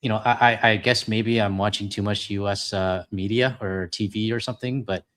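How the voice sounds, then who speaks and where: A man's voice: lowish voice, steady tone, a little fast, a bit bright. A middle-aged Canadian man with a Canadian accent chatting casually on a live stream, rambling and self-deprecating with lots of fillers. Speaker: co-host reading donations.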